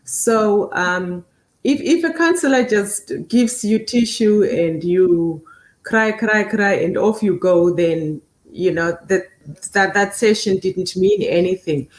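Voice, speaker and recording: A woman guest on a panel who speaks haltingly, often repeating words. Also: medium pitch. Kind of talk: panel discussion. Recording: echoey room.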